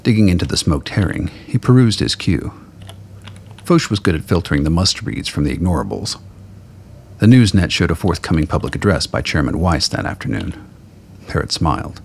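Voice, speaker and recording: A man narrating a scripted radio play in a measured, literary storytelling manner. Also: sits low, big pitch swings, vocal fry, husky.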